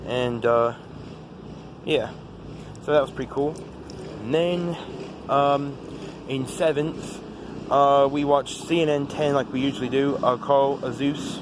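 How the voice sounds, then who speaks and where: A teenage male with an American accent gives a casual, rambling vlog-style recap of the day, halting often as he talks. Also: medium pitch, steady tone. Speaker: vlogger. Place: outdoors. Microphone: phone. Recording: noisy outdoor phone recording with traffic.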